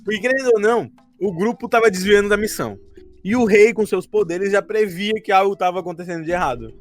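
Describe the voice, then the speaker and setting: A man's voice: sits high, fast, soft background music. A Brazilian man casually recapping a game campaign among friends, in an informal, rambling storytelling way. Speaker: podcast co-host and game master recapping a tabletop campaign.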